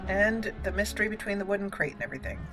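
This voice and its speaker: A woman's voice: mid-range voice, lively intonation, racing, over music. A woman doing casual, amused let's play commentary, slipping into in-character roleplay and talking to herself as she plays. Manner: playful, amused, talks to self. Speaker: gaming channel host.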